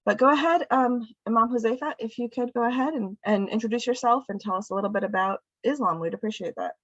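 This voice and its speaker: A woman's voice: on the high side, fast talker, no room sound. Hesitant woman host, dull dry sound. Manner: friendly, hesitant with many ums. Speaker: event host. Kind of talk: casual introduction.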